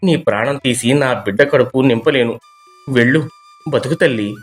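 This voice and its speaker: A man playing a poor, kind-hearted fisherman and father, speaking with earnest emotion in an animated moral story. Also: medium pitch, normal speed, soft background music, natural voice. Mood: resigned, noble.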